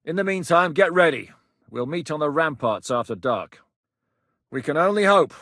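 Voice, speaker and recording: An actor playing a gruff, blunt, sweary rebel leader in a video game, giving curt orders. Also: male voice, a little high, expressive, moderate pace, a bit bright, no echo, studio quality. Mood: commanding. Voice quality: gruff, commanding. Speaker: game character.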